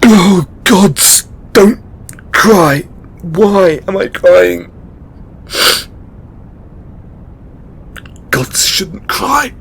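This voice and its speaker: An actor playing a distraught, overburdened god in an emotional audio roleplay, a man speaking tearfully and haltingly with exasperated swearing. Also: sits high, expressive, vocal fry, husky, heavily acted. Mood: apologetic, tearful. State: crying. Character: distraught, overburdened male god venting to his devotee.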